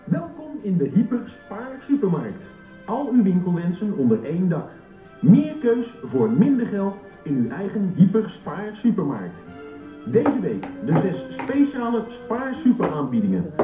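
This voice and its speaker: An actor playing a slick, upbeat supermarket announcer in a radio play, selling over a store intercom. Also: male voice, high voice, wildly swooping pitch, a little slow, exaggerated voice. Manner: salesy, promotional. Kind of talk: advertising patter in radio play. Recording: dull echoey intercom over loud music.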